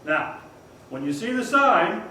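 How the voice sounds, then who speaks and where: A man's voice: high voice, big pitch swings, unhurried, pushed and bright, full character voice. A man playing an upbeat, theatrical 1940s radio show announcer, welcoming a live audience as host. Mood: coaxing, upbeat. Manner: theatrical, showy, addressing the audience. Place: stage of a performing arts hall. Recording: echoey hall from far off, faint noise.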